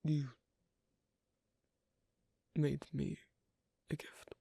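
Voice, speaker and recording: A performer voicing a shy, awkward, asocial young werewolf in an intimate ASMR roleplay, speaking softly and haltingly in a breathy, husky near whisper. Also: medium pitch, level tone, dry recording, full character voice. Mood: shy, touched. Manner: hesitant, halting, soft-spoken with long pauses.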